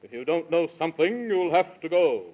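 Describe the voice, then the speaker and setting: A man's voice: on the high side, animated, moderate pace. A man playing a fussy, bossy, pompous royal Prime Minister who talks in rhyme in a 1930s children's radio serial. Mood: bossy, scolding. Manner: pompous, singsong rhyming. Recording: muffled 1930s radio recording.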